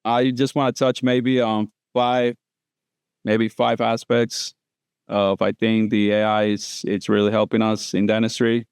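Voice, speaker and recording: A man's voice: on the low side, flat delivery, unhurried, a little mellow, clear, resonant tone, dry recording, studio quality. A man from Costa Rica, a dentist guest on a podcast, explaining things casually with loose grammar and many fillers. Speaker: guest prosthodontist.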